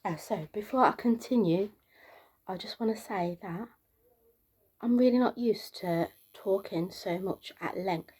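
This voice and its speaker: A woman from the UK with a soft, girlish voice, talking casually to camera in a rambling monologue full of fillers, her pitch climbing as she gets into the flow. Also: measured pace, slightly rough. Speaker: vlogger.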